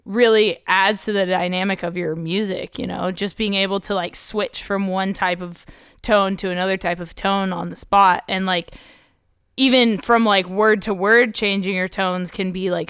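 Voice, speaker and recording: Woman podcast host and metal singer, crisp resonant voice, dry close sound, encouraging casual chat. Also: medium pitch, fairly bright tone, no room sound. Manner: encouraging, chatty with filler phrases.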